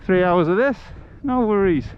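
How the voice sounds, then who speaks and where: A man's voice: sits high, lively intonation, moderate pace. A man chatting casually and wryly in a rambling way as he hosts a walking livestream. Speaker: livestream host and travel vlogger. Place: outdoors, walking along a village road. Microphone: action camera on a head strap. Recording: muffled outdoor audio with loud wind noise. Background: wind and ambient outdoor noise.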